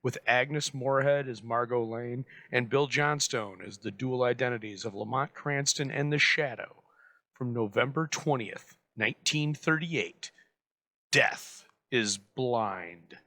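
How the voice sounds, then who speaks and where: A man giving a measured, scripted introduction as the host of a vintage radio series. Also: medium pitch, bright, forceful tone, no echo. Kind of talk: scripted narration.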